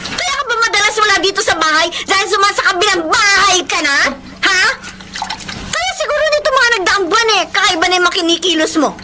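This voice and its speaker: An actress playing a suspicious, hurt young wife in a Filipino radio drama, emotional and accusing, with a Filipino accent. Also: female voice, extremely high pitch, lively intonation, a little fast. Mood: furious. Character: suspicious, hurt young wife confronting her cheating husband. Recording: noisy radio drama with background ambience.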